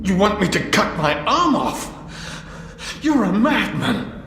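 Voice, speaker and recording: In a long reverberant hall a poisoned game character begging for help. The high voice swings widely, slow, strained and full of pain. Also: male voice, very animated, a bit bright, full character voice. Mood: desperate, frightened. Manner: pained, pleading, strained. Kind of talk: video game cutscene acting. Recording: long echoing hall reverb, music behind.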